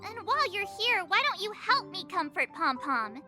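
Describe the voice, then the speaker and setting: A woman's voice: extremely high pitch, very animated, normal speed. A woman voicing a perky, caring teenage girl adventurer in a video game, with a bubbly girlish manner. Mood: playful indignant concern. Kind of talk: video game character acting. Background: music.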